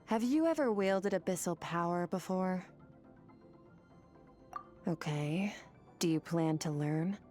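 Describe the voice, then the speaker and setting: Cool, low-voiced warrior mentor. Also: female voice, a little mellow, soft background music. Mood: cool, explanatory. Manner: measured, matter-of-fact, detached. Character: aloof, stern female warrior mentor, cool and pragmatic. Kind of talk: restrained video game voice acting.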